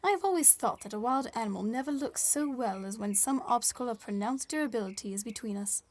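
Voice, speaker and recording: A woman's voice: a little high, expressive, quick pace. A woman playing a polite, shrewd Victorian newspaper reporter, formal and wry, in a scripted audio drama. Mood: wry, narrating. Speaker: narrator and interviewer. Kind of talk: scripted first-person narration and dialogue.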